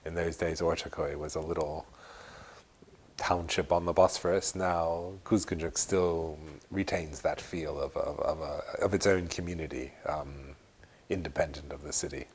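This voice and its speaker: A man's voice: very deep voice, fairly even intonation, normal speed, breathy edge. An older man speaking reflectively in an interview, hesitant and rambling, often correcting himself. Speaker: interviewee, long-time resident.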